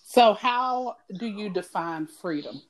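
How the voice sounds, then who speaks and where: A woman's voice: mid-range voice, expressive, slow. A woman interviewing a guest who answers with short affirmations and asks open questions. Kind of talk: conversational interview.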